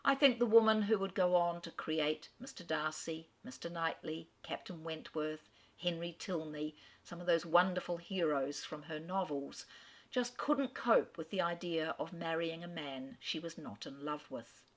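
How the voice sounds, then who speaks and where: Woman, middle-aged, literary talk, clear measured delivery, wry asides, lowish voice. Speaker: literary speaker. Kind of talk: recorded talk on an author.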